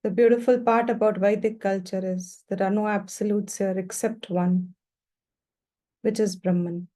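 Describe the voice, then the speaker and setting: A woman guiding a meditation as a teacher, speaking gently and without hurry in short, spaced phrases. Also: medium pitch, steady tone. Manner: gentle, unhurried, pausing between short phrases. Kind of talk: guided meditation instruction.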